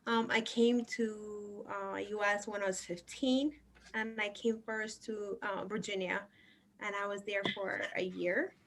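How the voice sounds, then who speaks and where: A young adult woman from Ethiopia, a show guest telling her life story in a casual, unhurried way, with many ums and uhs. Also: medium pitch, fairly even intonation, fairly bright tone.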